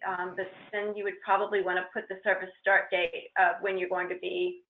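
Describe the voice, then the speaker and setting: A woman presenting on a training call, walking informally through a procedure step by step in a halting, hesitant way. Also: on the low side, little pitch movement. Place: conference call with shared screen. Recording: roomy, muffled conference-call line.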